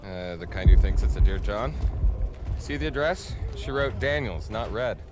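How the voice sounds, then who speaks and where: An actor playing a wisecracking, cynical young soldier in a war game, teasing and glib. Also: male voice, on the low side, lively intonation, moderate pace, lightly acted. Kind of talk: cinematic war game acting. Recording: loud outdoor vehicle noise.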